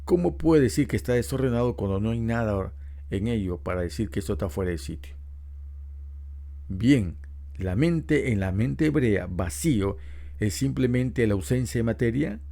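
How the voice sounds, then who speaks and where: Mellow-voiced man teaching scripture. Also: mid-range voice, animated, gravelly. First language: Spanish. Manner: explanatory, unhurried, with rhetorical questions. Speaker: preacher. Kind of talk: Bible teaching talk.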